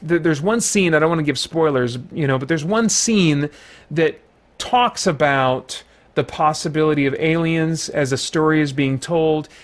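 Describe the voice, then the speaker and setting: Male reviewer-host, slightly high voice, conversational, unscripted, feels out his phrasing and self-corrects. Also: moderate pace. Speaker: film reviewer, channel host. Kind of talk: unscripted review commentary to viewers.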